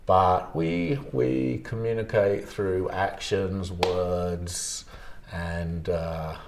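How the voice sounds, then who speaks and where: Male teacher, deep voice, slow and measured with ums, roomy echo. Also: fairly even intonation, creaky, background noise. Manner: measured, with hesitant "um"s. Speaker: English language teacher. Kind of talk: interview answers. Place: school classroom.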